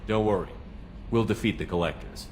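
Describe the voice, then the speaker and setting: Game lead: man as resolute military commander, very low, brisk delivery, courteous, faint background hum. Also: darkish tone, close to an everyday voice. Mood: composed. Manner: brisk, composed, matter-of-fact. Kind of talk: video game dialogue acting.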